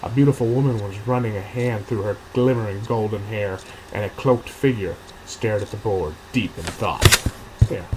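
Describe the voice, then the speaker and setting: A man's voice: lowish voice, normal speed, background noise. A man reading fiction aloud as its author, with casual asides as he thinks aloud about edits. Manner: thinks aloud, rereading and revising sentences. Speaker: author reading own novel draft and commenting on edits.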